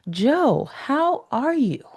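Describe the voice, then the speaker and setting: A woman's voice: high voice, sing-song, drawn-out words, a little mellow. A woman hosting a podcast interview over a remote call, chatty and affirming, often ending her points with "right?". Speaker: podcast host. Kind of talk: casual interview chat. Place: remote call from New York.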